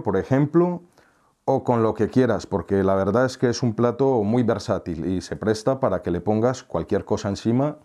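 A middle-aged man from Colombia, hosting a home cooking tutorial and calmly explaining each step with small friendly asides. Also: fairly low pitch. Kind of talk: relaxed recipe explanation. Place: home kitchen, indoors.

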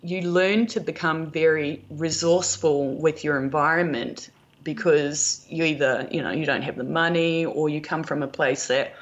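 A woman's voice: deep voice, steady tone, normal speed, dull audio. A middle-aged woman with a New Zealand accent, chatting casually and telling anecdotes as an interview guest. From rural New Zealand. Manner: chatty, anecdotal, quotes conversations. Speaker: podcast guest, film producer and prop maker.

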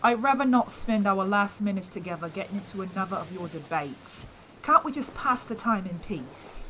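A woman's voice: mid-range voice, lively intonation, normal speed, bright, forceful tone, a little hiss or hum. An actress playing a devout, skeptical older mother with folksy, rustic phrasing in a fantasy audio drama. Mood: weary.

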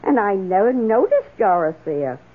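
A woman's voice: medium pitch, big pitch swings, moderate pace. An actress playing an eager, emotional woman houseguest in an old-time radio drama, with an earnest and dramatic delivery. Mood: anxious, remorseful. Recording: muffled lo-fi radio drama recording.

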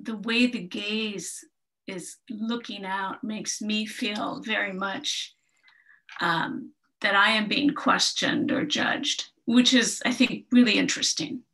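A woman's voice: mid-range voice, bright, forceful tone, rough recording. A woman taking part in an online group discussion, sharing her impressions in a reflective way. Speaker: discussion participant. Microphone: computer mic on a video call.

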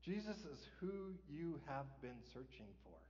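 A man's voice: on the high side, expressive, a little slow, a little mellow. A middle-aged man preaching a sermon as pastor, conversational, often asking "right?" and chuckling. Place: large church sanctuary, stage. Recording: dull, hall reverb.

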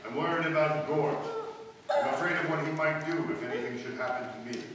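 An actor playing a calm, grave alien visitor in an old-time radio drama on stage, earnest and deliberate. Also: male voice, on the high side, close to an everyday voice. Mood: worried, grave. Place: stage in a hall with audience. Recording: echoey hall from far off, faint hiss.

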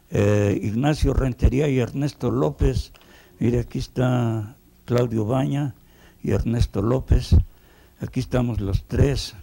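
An older man with a Mexican accent, reminiscing unhurriedly in a documentary interview, pausing as he tells his stories. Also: mid-range voice, creaky, warm tone. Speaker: veteran animator.

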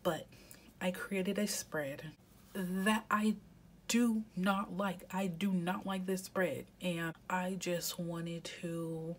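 A congested woman with a low, slow voice talks softly over a steady hiss, often dropping to a half-whisper. She chats casually to her viewers as she plans her week at a home desk. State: congested with a head cold. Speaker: planner vlogger. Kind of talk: casual chat while planning.

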